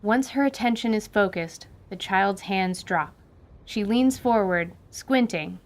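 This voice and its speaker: A woman narrating a fantasy radio drama with lively storytelling. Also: mid-range voice, a little slow.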